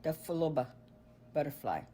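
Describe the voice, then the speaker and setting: A speaker on a played-back recording, slowly pronouncing words one by one for learners. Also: very animated, mellow. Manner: slow, deliberate, low-pitched word list. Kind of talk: slow word-by-word pronunciation recording. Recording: played-back recording, faint ambient noise.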